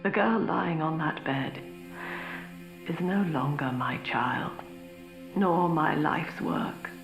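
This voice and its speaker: Slow, gravelly, bitter villainess. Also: female voice, deep voice, exaggerated voice. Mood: bitter grief. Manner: measured, severe, eloquent. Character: cold, bitter villainess, a disowning mother. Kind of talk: dramatic game voice acting. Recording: roomy echo, music behind.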